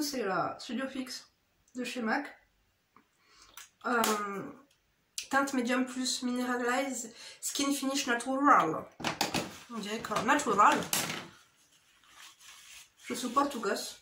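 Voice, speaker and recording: A woman's voice: a little high, takes it slowly, long pauses, noisy background. A woman beauty vlogger chatting casually through her makeup routine, slangy and familiar, speaking straight to her viewers. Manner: familiar, slangy, addresses viewers directly with frequent "hein" tags. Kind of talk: casual chatty get-ready-with-me makeup chat. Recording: roomy, echoey indoor sound.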